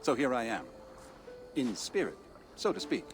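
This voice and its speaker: An actor playing a shrewd, guarded modern leader in a video game, speaking with dry humour. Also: male voice, medium pitch, big pitch swings, normal speed, soft background music. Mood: wry, probing. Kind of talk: dramatic video game acting.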